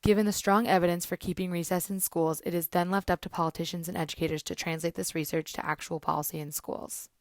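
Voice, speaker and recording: A woman narrating a scripted student report in a measured, informative way. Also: on the low side, steady tone, no room sound.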